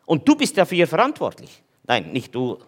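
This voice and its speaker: A man's voice: on the high side, huge pitch swings, normal speed. A man giving an informal sermon in a conversational way, hesitating often with fillers. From German-speaking region. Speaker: preacher.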